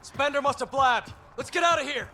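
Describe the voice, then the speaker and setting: A man voice actor playing an excitable, desperate exiled smuggler in a video game. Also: very high voice, fairly quick, faint music behind. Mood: alarmed.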